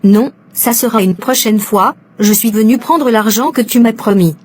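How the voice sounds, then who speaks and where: A woman's voice: fairly high pitch, normal speed, darkish tone, slight background noise, lightly acted. Woman playing a coaxing, evasive mistress. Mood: cautious, evasive. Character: evasive, money-minded secret mistress, cautious and coaxing. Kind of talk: animated soap drama dialogue.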